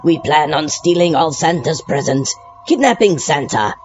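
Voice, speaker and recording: A man's voice: on the high side, lively intonation, moderate pace, a little mellow, muffled recording, faint music behind, close to an everyday voice. An actor playing a pompous, menacing alien moon king in a comedic audio drama, with grandiose villain speech. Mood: gloating.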